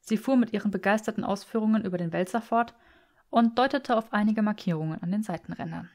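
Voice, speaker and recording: A woman narrates fiction for an audiobook. Her voice sits at a medium pitch, and she reads the scripted prose with expression. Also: quick pace, darkish tone.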